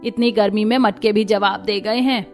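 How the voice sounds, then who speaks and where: A woman's voice: mid-range voice, steady tone, normal speed, faint music behind, natural voice. A woman voicing a firm, protective older village mother-in-law in a cartoon, motherly and admonishing. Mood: indignant.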